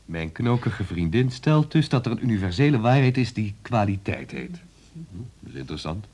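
A man's voice: on the low side, sing-song, normal speed, warm tone. An actor playing a sardonic, sceptical man in a radio drama, talking in a mocking, teasing way. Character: sardonic, sceptical man needling his lover.

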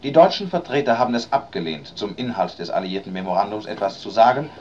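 A man's voice: lowish voice, animated, fast, darkish tone, muffled recording. A man reading scripted, news-style narration in a formal, factual manner.

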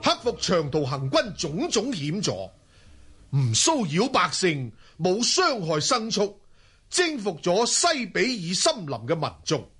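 An actor playing a proud middle-aged Mongol ruler in a Cantonese radio drama, stately and emphatic. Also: male voice, high voice, big pitch swings, unhurried, a bit bright, slightly rough, full character voice. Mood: solemn, commanding.

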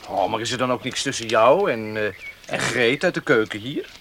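An actor in a Dutch radio play playing an evasive young gentleman, hesitant and hemming as he coaxes. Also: medium pitch, expressive, moderate pace. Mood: awkward probing. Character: evasive, wheedling young gentleman, master's son. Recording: noisy old radio play recording.

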